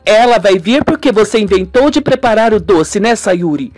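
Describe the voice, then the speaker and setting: Radio serial part: man as plotting young sister, raised pitch, grumbling, blunt, insistent. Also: expressive, moderate pace, no echo, soft background music. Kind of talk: radio melodrama acting.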